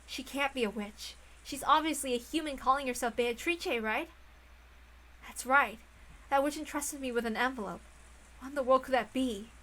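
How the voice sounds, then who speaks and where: A woman's voice: high voice, moderate pace, pushed and bright, low-quality recording. A woman voicing a tense, anxious and secretive mother, hesitant and self-questioning, in a visual novel read aloud. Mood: uneasy. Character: anxious, secretive adult mother, quick to scold.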